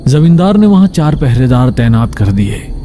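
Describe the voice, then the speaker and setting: A man's voice: medium pitch, big pitch swings, moderate pace, warm tone, faint music behind. A man narrating a scripted horror story.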